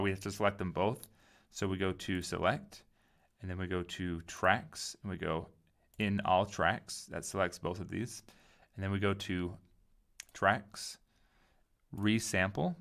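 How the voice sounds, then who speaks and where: A man giving a casual software tutorial, informally thinking aloud as he goes. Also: sits low, creaky. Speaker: tutorial host.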